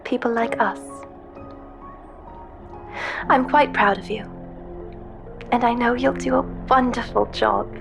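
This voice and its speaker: A woman's voice: fairly high pitch, fairly even intonation, slow, gravelly, long pauses. An actress playing a caring female ship intelligence in a sci-fi audio drama, talking in an intimate, hesitant, conversational way as if leaving a personal message. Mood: proud, fond. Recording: background music under the voice. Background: music.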